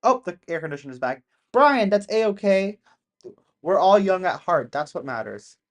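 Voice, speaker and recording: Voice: mid-range voice, sing-song, normal speed. A person in their thirties chatting casually with live-stream viewers from home in the countryside, rambling and laughing often. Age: 30s.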